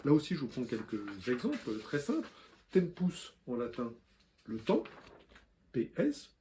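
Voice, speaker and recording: A man talks straight to camera, explaining how words are spelled. His voice is mellow and warm, and his pitch jumps around a lot. He stops often to spell out letters and read example words, with plenty of fillers along the way. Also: huge pitch swings, measured pace, slight background noise. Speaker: language columnist and video host.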